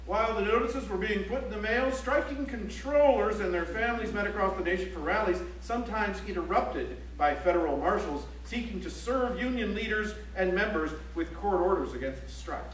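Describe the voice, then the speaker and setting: A man's voice: high-pitched, animated, brisk, few pauses, fairly bright tone, a little hiss or hum. An actor playing an emphatic male reporter reciting strike news on stage, recorded from a distance in an echoey auditorium.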